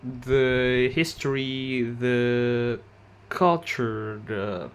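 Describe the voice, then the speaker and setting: A young man from Indonesia, a non-native English speaker with an Indonesian accent, giving a halting class presentation with many pauses and restarts. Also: mid-range voice, clean tone. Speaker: student presenter.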